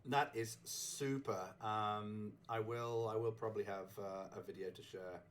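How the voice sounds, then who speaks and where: A man with a fairly low voice hosts a relaxed recap chat. He rambles and chuckles, often breaking off to restart a sentence. His voice is just getting over a sore throat. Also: measured pace, a little hiss or hum. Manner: rambling, chuckling, self-interrupting. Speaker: host. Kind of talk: casual chat recapping a game community.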